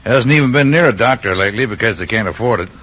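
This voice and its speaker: A man playing a blunt, hard-nosed cattle rancher in an old western radio drama, gruff and plain-spoken. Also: mid-range voice, lively intonation, quick pace, fairly bright tone. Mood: blunt, dismissive. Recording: muffled vintage radio recording.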